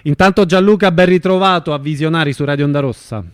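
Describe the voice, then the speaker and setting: A man's voice: on the high side, quick pace, clean tone, no room sound. Male radio host, close dry sound. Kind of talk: live radio interview, casual.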